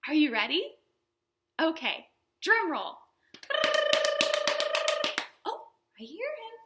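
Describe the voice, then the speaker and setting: A woman hosting a storytime for young children, teaching in simple words with an animated, sing-song manner and questions to her small viewers. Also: high-pitched, slow pace, heavy background noise. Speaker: children's librarian, storytime host.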